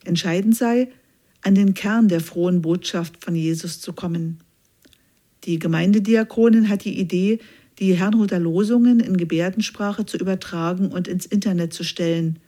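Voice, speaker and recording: A woman reading a scripted news feature aloud in an even, measured way. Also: fairly low pitch. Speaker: news reader.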